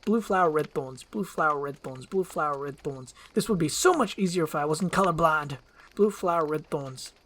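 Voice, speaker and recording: A woman voicing a frantic, excitable male talking donkey who is melodramatic and repeats himself in a storybook-style script reading. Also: low-pitched, moderate pace. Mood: fretful muttering.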